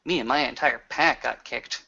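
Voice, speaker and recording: A man's voice: mid-range voice, normal speed, gravelly, sharp tone, slightly rough. A man roleplaying as a player in a tabletop session, hesitant and thinking aloud as he goes. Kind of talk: improvised in-character roleplay. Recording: dull lo-fi recording.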